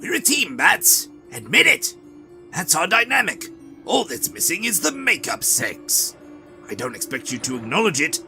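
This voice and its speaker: Manic clown villain, wildly swooping, bright and sharp; comic-dub role, big theatrical delivery. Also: male voice, fairly high pitch, very animated, moderate pace, soft background music, exaggerated voice. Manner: theatrical, swings from shouting to hushed. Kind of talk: fan dub of a motion comic.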